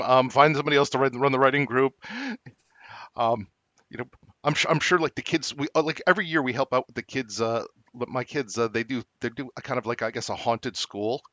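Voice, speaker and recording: A middle-aged man co-hosting a podcast in casual chat, rambling with many halting restarts and fillers. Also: medium pitch, pushed and bright, no echo. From New Jersey. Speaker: podcast co-host. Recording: dull lo-fi dry recording.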